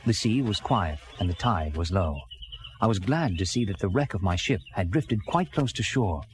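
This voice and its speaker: A man's voice: fairly low pitch, expressive, moderate pace, slight background noise, close to an everyday voice. An actor playing an earnest castaway sea captain, telling his story in theatrical first-person storybook narration. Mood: earnest, reflective. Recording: music bed under early-1980s children's record. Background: dramatic background music.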